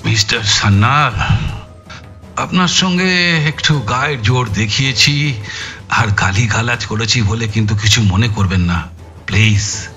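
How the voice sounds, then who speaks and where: A man acting a hot-tempered, forceful police detective in an audio story, agitated and firing off repeated commands. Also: medium pitch, a little slow, pauses often, faint music behind. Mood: apologetic.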